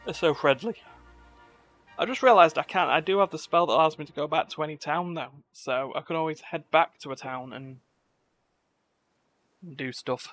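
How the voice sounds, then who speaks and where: A man's voice: on the high side, moderate pace, long pauses, fairly bright tone, faint music behind. A man giving casual, wry, irreverent commentary while streaming a video game. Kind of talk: casual live gameplay commentary.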